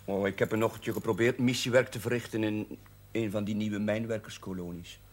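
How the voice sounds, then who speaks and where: An actor playing an earnest young chaplain who gently teases his senior, in a 1970s television drama. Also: on the low side, fairly even intonation, fairly quick, natural voice. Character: earnest young male priest, eager and teasing.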